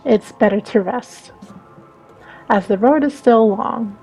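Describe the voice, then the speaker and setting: A woman's voice: a little high, slow pace, mellow, rough recording. A woman reading a visual novel aloud as a dramatic narration. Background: background music.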